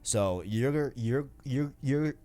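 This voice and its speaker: A man's voice: medium pitch, drawn-out words, mellow, faint music behind. A man hosting a podcast, chatting casually about video games in slangy speech and often repeating himself as he agrees. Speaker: podcast host.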